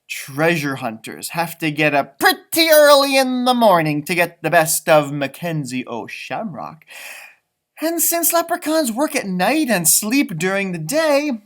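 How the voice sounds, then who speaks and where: A man's voice: sits high, big pitch swings, a little slow, fairly bright tone, full character voice. A man voicing a small, grumpy, boastful old leprechaun telling his own story in a storybook read-aloud. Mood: proud, boastful. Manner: feisty, boastful, theatrical storytelling.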